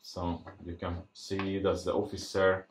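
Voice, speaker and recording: A man giving casual, unscripted unboxing commentary, thinking aloud in a halting way as he presents. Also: low-pitched, monotone, slight background noise. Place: indoors at a tabletop. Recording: roomy, echoey sound.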